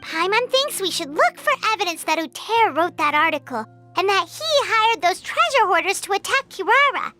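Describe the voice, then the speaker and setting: A woman voicing a tiny, nosy, excitable female fairy companion who talks about herself in the third person. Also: extremely high pitch, moderate pace, few pauses, heavily acted. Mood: chirpy. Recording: game soundtrack music behind. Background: game music.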